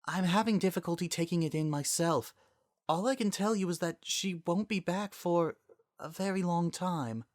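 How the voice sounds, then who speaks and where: A man voicing a regal female pony princess who speaks slowly and carefully in a dramatic story reading. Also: high-pitched, voice pushed up, natural voice. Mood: regretful. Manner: slow, measured, careful.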